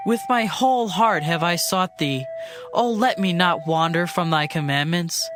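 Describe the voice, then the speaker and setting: A man's voice: high voice, unhurried. A man giving a dramatized reading of scripture. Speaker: scripture reader. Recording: reading over a music bed.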